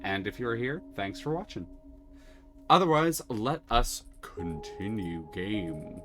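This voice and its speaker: A man's voice: lowish voice, expressive, slow pace, pushed and bright. A man streaming a game, chatting casually and explaining the mechanics with enthusiasm and many restarts. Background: music.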